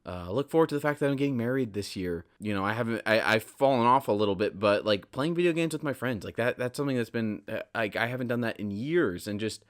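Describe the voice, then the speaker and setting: A man's voice: medium pitch, expressive, fast, few pauses. A man in his twenties hosting a solo podcast, talking casually in a candid, rambling, self-deprecating way. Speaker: podcast host.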